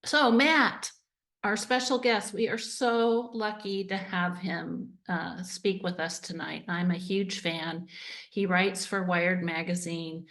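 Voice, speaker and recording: A woman's voice: fairly low pitch, fairly even intonation, slow pace, a bit bright. A woman hosting a webinar on a video call, enthusiastic and talking with many fillers. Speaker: event host. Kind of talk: webinar introduction.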